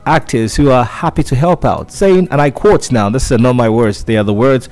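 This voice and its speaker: A man's voice: medium pitch, moderate pace, a little mellow. A man hosting a radio show, chatting through entertainment news with lots of fillers and restarts.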